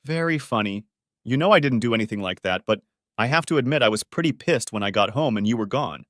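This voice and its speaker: A man's voice: medium pitch, animated, fast, a bit bright, dry recording, clean studio sound, natural voice. A man voicing an agitated, defensive wife in a dramatic story reading. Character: Carol, agitated defensive wife in a troubled marriage. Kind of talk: audiobook-style dramatic reading of dialogue.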